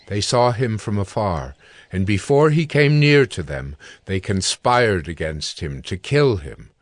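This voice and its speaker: A man narrating scripture in a measured, solemn storytelling style. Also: lowish voice, animated, dry recording. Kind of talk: scripted dramatic Bible narration.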